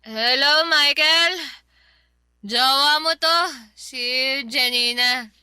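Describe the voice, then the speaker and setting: A woman's voice: high-pitched, slow, sharp tone, no echo, heavily acted. An actress playing a drunk, heartbroken young woman in a Filipino radio drama, with a tipsy, whiny drawl and a Filipino accent. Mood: tipsy, giddy.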